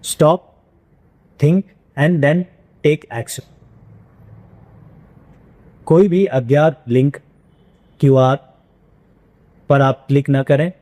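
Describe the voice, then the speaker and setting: A man, a senior police officer, giving a formal, deliberate public awareness address with pauses between phrases. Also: fairly high pitch, dark tone.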